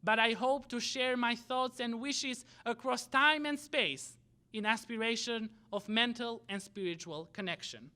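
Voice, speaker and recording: Young albanian-accented guy giving a grad speech, bright voice, slow careful phrasing. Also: very high voice, smooth. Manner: measured, deliberate oratory in short phrases. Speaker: student body president giving a graduation speech. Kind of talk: prepared commencement speech.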